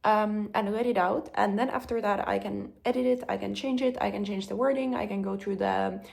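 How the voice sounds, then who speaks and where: Young woman, Finnish accent, non-native English, mid pitch, gravelly vocal fry, candid reflective vlog. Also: steady tone, a little fast, darkish tone. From Finland. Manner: candid, thoughtful, frequent ums and likes. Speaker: vlogger. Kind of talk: reflective personal vlog talk.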